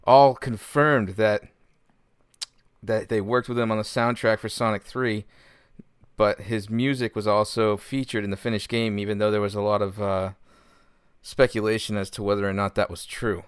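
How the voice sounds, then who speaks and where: A man's voice: lowish voice, steady tone, normal speed, clear, resonant tone, no echo. Male podcast co-host, clean resonant voice, casual delivery, close dry recording. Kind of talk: casual chat and gaming news segment.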